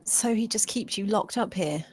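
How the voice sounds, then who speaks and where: A woman playing a timid, apologetic young servant in a period screenplay read, speaking softly and hesitantly. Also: medium pitch, normal speed, breathy edge, no echo, natural voice. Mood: timid, curious. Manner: soft, hesitant.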